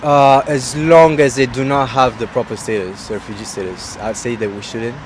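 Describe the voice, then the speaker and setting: A man's voice: mid-range voice, fairly even intonation, moderate pace. A young man from Romania, a university student answering street interview questions in a hesitant, earnest way. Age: young adult. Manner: hesitant and earnest, with many "I mean" fillers. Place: outdoors on a street. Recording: outdoor street recording with traffic.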